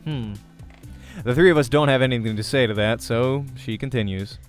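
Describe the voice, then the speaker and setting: A man's voice: medium pitch, normal speed. A man reading a visual novel aloud as the host of a let's play, narrating in character voice and prose. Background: music.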